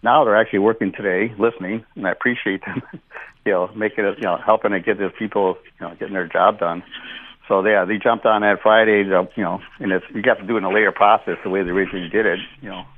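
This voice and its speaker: A man's voice: on the low side, fairly even intonation, fast, dry recording, narrow, tinny audio. A man who owns a contracting company rambles through a job story on the radio, with lots of "you know". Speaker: contractor, company owner and show regular.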